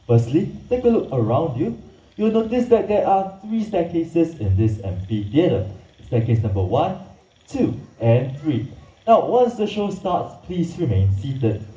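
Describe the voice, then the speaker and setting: A man whose first language is Mandarin, presenting a live night animal show in an outdoor amphitheater, hyping the crowd and narrating in a theatrical, drawn-out way. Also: a little high, sing-song, mellow. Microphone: PA system heard from the audience. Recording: echoey PA sound from far off.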